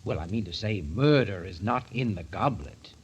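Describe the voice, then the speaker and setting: Dull old-radio suave, sinister gentleman. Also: sits low, animated, moderate pace, husky, exaggerated voice. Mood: mocking, sinister. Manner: urbane, theatrical, teasing. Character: suave, morbidly fascinated man, mocking and coolly sinister. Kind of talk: 1940s radio mystery acting with first-person narration.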